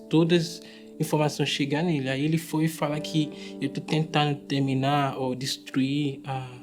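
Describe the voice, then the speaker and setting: A man of twenty-six with a Nigerian accent, a non-native speaker, telling his story in a documentary testimonial and pausing to find words. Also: mid-range voice, darkish tone. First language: not Portuguese. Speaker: refugee, English teacher and musician.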